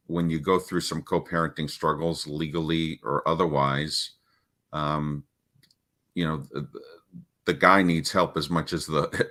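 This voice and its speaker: A middle-aged man with a deep voice, a parenting coach talking casually in an interview, with many restarts and fillers. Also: sharp tone.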